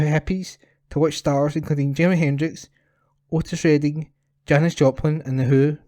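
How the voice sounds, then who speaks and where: A man's voice: fairly high pitch, dark tone, dry recording, studio quality. A man reading scripted news items as a volunteer for a talking newspaper. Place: at home.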